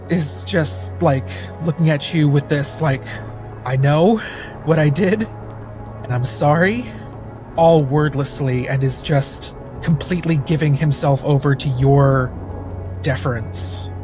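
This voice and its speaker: A man playing a tabletop role-playing game, casually narrating his character. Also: a little high. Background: music.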